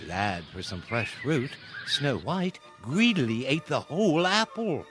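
A man narrating a fairy-tale radio play in a scripted storybook style, with dramatic, deliberate pauses. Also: mid-range voice, very animated, loud music behind the voice.

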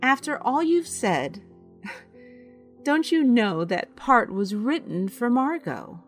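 A woman playing a sensible, skeptical friend in an audio drama, with a dry, measured manner. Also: fairly high pitch, sing-song, soft background music.

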